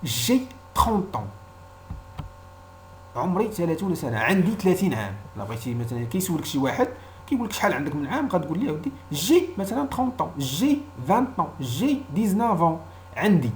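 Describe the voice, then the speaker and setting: A man's voice: fairly high pitch, very animated, fast, slight background noise. A man with a Moroccan accent, a native Arabic speaker, giving an informal language lesson as a teacher, explaining didactically and repeating example phrases.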